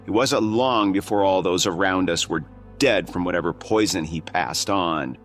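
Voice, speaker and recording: An actor playing a menacing, accusing priest in an audio drama, deliberate, preachy and condescending. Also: male voice, low voice, a bit bright, soft background music. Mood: cold accusation.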